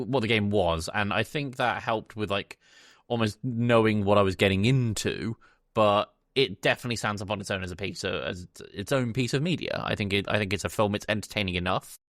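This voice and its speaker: A man's voice: on the low side, normal speed, a bit bright, no echo, studio quality. A man co-hosting a podcast, giving his opinions on films in an informal, conversational way, thinking aloud. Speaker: podcast co-host. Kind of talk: casual film review chat.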